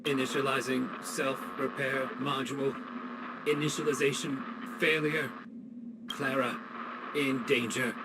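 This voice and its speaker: Man voicing a damaged robot, video game, halting, mechanical, dutiful, slow, noisy recording. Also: mid-range voice, flat delivery, natural voice. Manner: halting, fragmented mechanical delivery.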